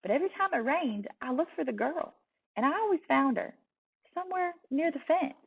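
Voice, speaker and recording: A woman with a Southern accent reads a picture book aloud in an expressive storytelling style. Her voice sits a little high and often breaks into fry. The sound is thin and phone-like. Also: a little fast. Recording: distant, tinny phone-like sound.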